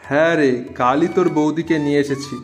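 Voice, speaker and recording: A man's voice: fairly high pitch, fairly even intonation, fairly quick, faint music behind, lightly acted. A man playing a hospitable village working man in a story cartoon, chatting in a hearty, familiar way. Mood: friendly, inviting. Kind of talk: Bengali moral-story cartoon dubbing.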